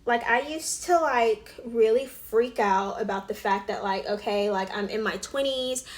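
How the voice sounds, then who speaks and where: Young woman, mid-twenties, a touch high-voiced, chatty get-ready-with-me vlogger full of fillers and asides. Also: a little slow. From Alabama. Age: 20s. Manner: chatty, filler-heavy, drops to whispered asides. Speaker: beauty vlogger. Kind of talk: casual get-ready-with-me chat.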